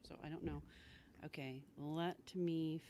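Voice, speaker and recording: A woman's voice: low-pitched, fairly even intonation, unhurried, mellow, a little hiss or hum. A middle-aged woman co-hosting a casual fan chat in a folksy way. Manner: folksy, says y'all. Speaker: live stream co-host.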